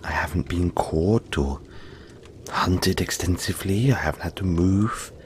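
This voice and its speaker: A man voicing a gentle, friendly young yeti in an intimate audio roleplay, speaking softly and reassuringly, with halting, breathy phrasing. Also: low voice, noisy background. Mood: friendly, earnest. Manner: soft-spoken, reassuring, halting, often breathy near-whisper.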